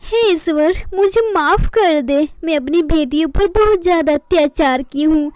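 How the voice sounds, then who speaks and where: A woman's voice: sits high, darkish tone, no room sound. A woman voicing an aggrieved, remorseful mother bird in a melodramatic cartoon, pleading and lamenting.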